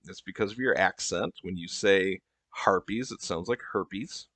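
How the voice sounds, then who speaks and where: A man playing an exasperated, patronizing therapy group leader in a comedy sketch, speaking in a halting, deadpan way. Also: low voice, no room sound. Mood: awkward, deadpan.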